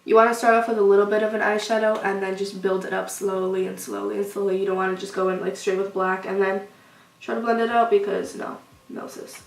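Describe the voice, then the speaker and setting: A woman's voice: mid-range voice, level tone, brisk, vocal fry. A young woman with a cold, sniffling as she chats loosely through a casual makeup tutorial. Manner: chatty, loose, many "like" fillers. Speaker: beauty vlogger. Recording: roomy echo, distant mic, faint hiss.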